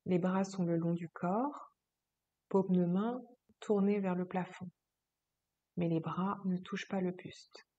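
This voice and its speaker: Husky, mellow woman guiding slow relaxation. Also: lowish voice, highs cut off. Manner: slow, calm, soothing instruction with long pauses. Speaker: yoga teacher. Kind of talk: guided relaxation meditation.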